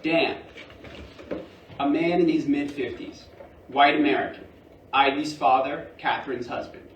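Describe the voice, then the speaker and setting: A man reading stage directions as scripted narration for a play, measured and deliberate. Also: a little high, fairly even intonation. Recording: roomy, distant mic, faint noise.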